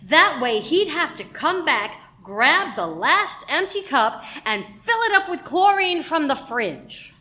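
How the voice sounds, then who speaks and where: A woman playing a sharp-tongued, accusing radio station employee in a mystery radio play, pointed and cutting. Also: sits high, sing-song, slow pace, few pauses, exaggerated voice. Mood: scornful, accusing.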